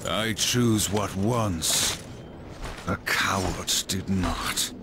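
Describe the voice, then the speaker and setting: A man's voice: sits low, gravelly, background noise, exaggerated voice. A man playing a grim, stoic horseman warrior in a video game, solemn, proud and defiant. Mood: solemn resolve. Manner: solemn, measured. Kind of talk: video game cutscene acting. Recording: loud music over voice.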